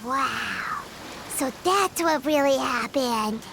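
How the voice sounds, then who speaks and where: A woman voicing a small, childlike, curious fairy companion in a video game, with a squeaky, bubbly delivery. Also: expressive, slow, creaky, noisy background, full character voice. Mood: amazed. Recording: music behind the voice. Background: game music.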